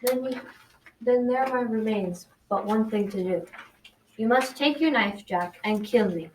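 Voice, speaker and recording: A woman's voice: on the high side, measured pace, natural voice. A young girl voicing a solemn, self-sacrificing animal helper in a school radio drama, earnest and recited. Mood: solemn, instructing. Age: child around 12 to 14. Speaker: student actor. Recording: noisy amateur recording, distant mic.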